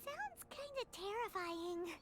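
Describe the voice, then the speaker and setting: Squeaky, swooping little fairy sidekick. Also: female voice, slow, noisy background, exaggerated voice. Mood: wary curiosity. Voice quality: squeaky, childlike. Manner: excitable, speaks of herself in third person. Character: small cheerful childlike fairy companion, curious, easily worried. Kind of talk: video game character acting. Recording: game music under the voice.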